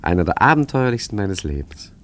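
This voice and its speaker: An actor playing a suave, cunning gentleman adventurer in a German radio play, urbane, wry and smoothly condescending as he recounts his exploits. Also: fairly low pitch, sing-song, a little fast. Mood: wry, reminiscing.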